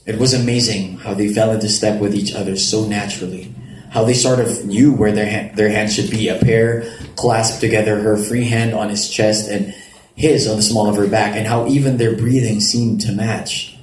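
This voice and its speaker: A man's voice: lowish voice, steady tone, normal speed. A man narrating a romance story in a live dramatic reading. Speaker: narrator reading a novel excerpt. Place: roomy event venue at a public reading. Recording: echoey live venue, audible background noise.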